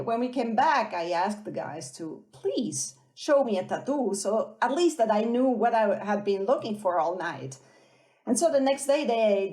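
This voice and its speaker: A woman from Switzerland speaks as an interview guest, with a mid-pitched voice. She tells personal stories in a conversational, light-hearted manner. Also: darkish tone. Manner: light-hearted, quick to laugh. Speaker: podcast guest, armadillo researcher.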